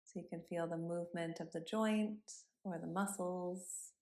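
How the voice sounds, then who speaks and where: A woman yoga instructor leading a body scan meditation in a gentle, instructive manner with pauses between cues. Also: on the low side, steady tone. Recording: roomy, echoing sound.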